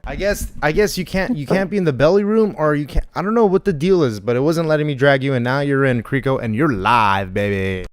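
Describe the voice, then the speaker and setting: A man's voice: on the high side, fairly quick, few pauses. A man hosting a casual online voice chat, laid-back and swearing casually. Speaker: host running the voice chat. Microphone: online voice call.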